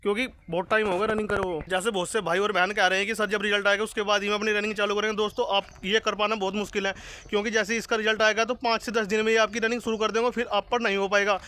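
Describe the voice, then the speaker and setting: A man with a high voice speaks quickly and in a fairly flat line. He addresses viewers informally as a study channel host, pressing his advice insistently and repeating it. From rural India. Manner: insistent, repetitive urging.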